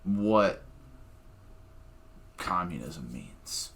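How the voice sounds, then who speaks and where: Blunt, profane man with a low voice. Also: big pitch swings, drawn-out words, vocal fry, fairly bright tone. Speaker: comedian guest. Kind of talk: casual podcast chat.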